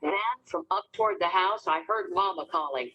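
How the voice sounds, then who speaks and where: A woman narrating a storybook as an expressive, dramatic read-aloud for children. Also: on the low side, moderate pace, husky, dull audio.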